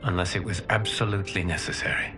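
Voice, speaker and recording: A man plays a noble god from a fantasy game, wise and gentle. He speaks in a low voice with formal, earnest courtesy, over clear background music. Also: steady tone, fast, lightly acted. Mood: grateful, earnest.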